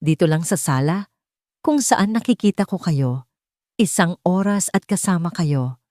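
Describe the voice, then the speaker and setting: A woman's voice: fairly low pitch, lively intonation, unhurried, a little mellow, dry recording, clean studio sound. A woman narrator voicing a cold, commanding billionaire father with an emotionless, authoritative manner in an audiobook.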